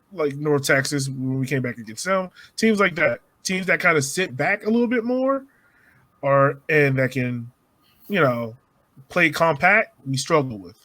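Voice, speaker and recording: A man's voice: medium pitch, a little slow, fairly bright tone, no room sound. A man co-hosting a podcast, giving casual sports analysis in a conversational way. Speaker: podcast co-host.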